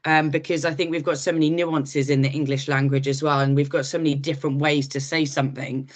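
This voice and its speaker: A woman's voice: deep voice, little pitch movement, fairly quick. Rambling woman podcast host. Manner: rambling, many ums and restarts. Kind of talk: podcast interview.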